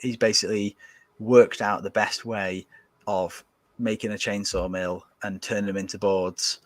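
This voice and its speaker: A man with a lowish voice talks casually as a podcast guest, wandering through his thoughts with fillers and restarts. The recording is dry and close. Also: flat delivery, unhurried, no room sound. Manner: rambling, hesitant, lots of fillers and restarts. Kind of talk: casual chat.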